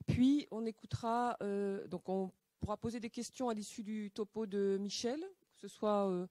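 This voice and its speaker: Woman host, mellow voice, slow hesitant intros, dry close sound. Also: medium pitch, dry recording. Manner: halting, many fillers, polite. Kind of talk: event introduction. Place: lecture hall.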